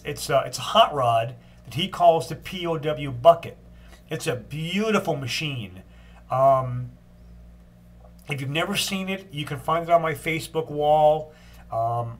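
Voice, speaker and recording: An older man with a stuffed-up cold voice giving a casual daily video update, unhurried, with frequent ums and restarts. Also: fairly high pitch. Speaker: long-distance walker giving a daily update.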